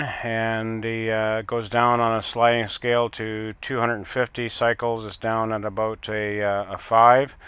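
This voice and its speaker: Bright, clear, even-toned man on amateur radio. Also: on the low side, dry recording. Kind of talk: casual technical radio chat with test counts.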